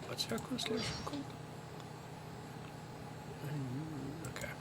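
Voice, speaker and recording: A game streamer giving casual gameplay commentary, musing to themselves in a low-key way. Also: sing-song, slow pace, vocal fry, heavy background noise. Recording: loud game music behind voice. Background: loud game music.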